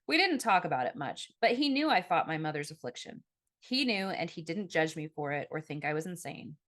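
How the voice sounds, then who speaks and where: A woman narrating a first-person novel as an audiobook, with an expressive storytelling delivery. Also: low voice, brisk. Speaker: author reading own novel.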